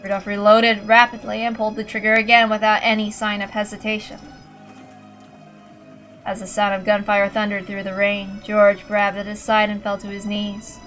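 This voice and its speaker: A woman's voice: medium pitch, monotone, moderate pace, fairly bright tone, low-quality recording. A woman reading a visual novel aloud as narrator, in a dramatic storytelling style. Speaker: narrator and reader. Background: faint background music.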